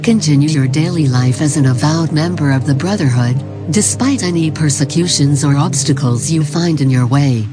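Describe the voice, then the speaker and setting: A performer playing a calm, formal cult recruitment narrator, reading a scripted instructional tape in a measured, solemn way. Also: mid-range voice, close to an everyday voice. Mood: serene, ominous. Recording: 1990s tape-style narration over music. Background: music.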